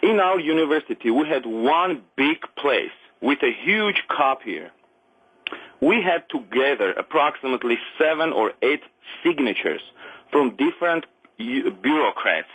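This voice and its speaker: A middle-aged man from Armenia whose first language is Armenian, speaking English with an Armenian accent. He calls in to a radio show and talks earnestly, haltingly, with many restarts and non-native grammar. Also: a little high, fairly bright tone, breathy edge, low-quality recording. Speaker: caller. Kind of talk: call-in radio conversation.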